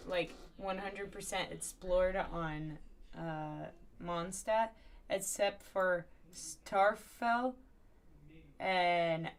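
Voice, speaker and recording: A woman's voice: on the low side, fairly even intonation, drawn-out words. A woman doing casual live commentary as she streams a video game. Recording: noisy mic with keyboard typing.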